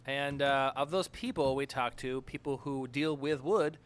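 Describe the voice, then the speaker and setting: A man's voice: medium pitch, fairly even intonation, a little slow, clean tone. A man hosting a casual podcast chat, joking around and talking with plenty of fillers. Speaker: podcast host.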